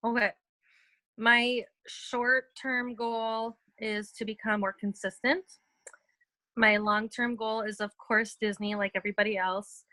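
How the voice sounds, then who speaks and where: A woman's voice: fairly high pitch, little pitch movement, a little slow, bright, forceful tone, no room sound, low-quality recording. A woman on a team video call, a single mom, introducing herself in an orderly, matter-of-fact way. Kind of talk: casual introduction.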